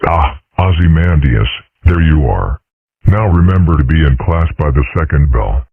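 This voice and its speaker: A man voicing a serene, eccentric old dragon father in a cartoon comic dub, husky and measured like a sage. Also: a bass voice, expressive, dry recording, full character voice. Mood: sagely. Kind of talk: comic strip dub acting. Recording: tinny phone-like band-limited audio.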